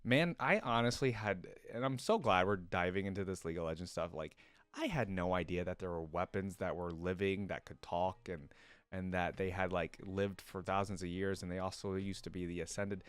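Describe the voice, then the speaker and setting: A man gives casual reaction commentary as the host of a video. His voice sits a little low and sounds dry and close, and he rambles along with open enthusiasm. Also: normal speed, smooth, no echo.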